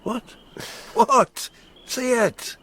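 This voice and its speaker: An actor in a radio drama playing a boisterous, teasing farmer who is drunk, slurring and halting as he repeats himself. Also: very high-pitched, fairly bright tone, a little hiss or hum, exaggerated voice.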